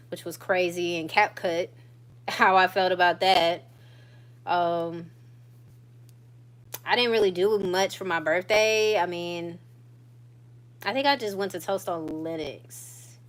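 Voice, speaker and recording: A woman in her thirties chatting casually to her viewers, relaxed and talkative, often laughing and stretching out her phrases. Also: lowish voice. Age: 30s. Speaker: vlogger. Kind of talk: casual catch-up chat to viewers.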